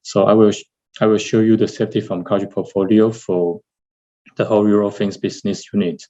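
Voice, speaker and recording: A man with a Taiwanese accent presents in a low, dark voice. He talks haltingly, often correcting himself mid-phrase, as a lab director would in an online seminar. The video-call sound is a little dull and lo-fi. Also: level tone. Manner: halting, with repeated and corrected words. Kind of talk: webinar slide presentation. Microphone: video call, seated at computer. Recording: dull, lo-fi webinar audio.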